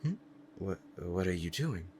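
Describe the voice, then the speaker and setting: A man's voice: deep voice, big pitch swings, a little slow, darkish tone, slight background noise. A man playing a powerful demon devoted to a beloved master, speaking formally and earnestly in a romantic ASMR roleplay. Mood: hesitant, curious. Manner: formal archaic diction, earnest and reverent.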